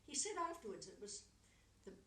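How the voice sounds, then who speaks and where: A woman in her sixties telling anecdotes in an animated way, acting out bits of dialogue as she goes. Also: mid-range voice, normal speed. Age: 60s. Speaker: storyteller. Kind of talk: anecdotal storytelling. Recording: roomy echo, distant mic, faint noise.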